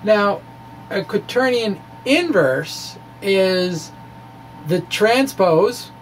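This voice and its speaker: A man giving an informal, animated explainer of his own material, with wry asides and a gravelly edge to his voice. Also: high voice, extremely slow, slight background noise, rough recording. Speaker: physics blogger presenting his own puzzles. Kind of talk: informal explainer, reading and discussing a blog.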